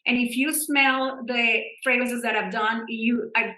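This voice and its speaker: A woman perfumer talks on a panel, upbeat and expressive. Her voice is bright and forward, and it carries a roomy echo. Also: fairly high pitch, measured pace. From Colombia. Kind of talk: panel discussion answer.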